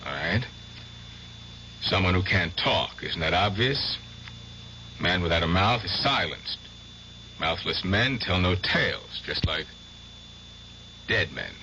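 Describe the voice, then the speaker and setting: A persistent young doctor in a radio play, with a very low voice. He speaks carefully and earnestly, hesitating as he tries to conciliate, on a dull old recording with faint noise. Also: animated, takes it slowly, pauses often, pushed and bright, exaggerated voice. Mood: earnest, measured. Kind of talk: radio drama acting.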